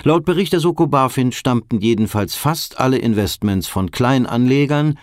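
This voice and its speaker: An actor playing a sober documentary narrator in a radio play, measured and matter-of-fact. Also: male voice, medium pitch, animated, no room sound, studio quality, close to an everyday voice. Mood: sober, probing. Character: sober documentary narrator piecing together a stock scandal.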